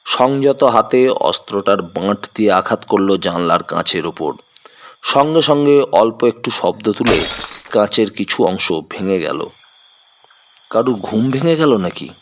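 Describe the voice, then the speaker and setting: A man's voice: lowish voice, a bit bright. A man narrating a scripted detective story in a dramatic, suspenseful manner.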